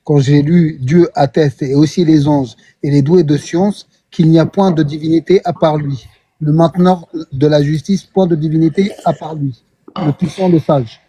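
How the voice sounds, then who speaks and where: A man with a husky voice explaining his point in a measured way during an online debate. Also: a little high, fairly even intonation, mellow, dry recording. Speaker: debate participant.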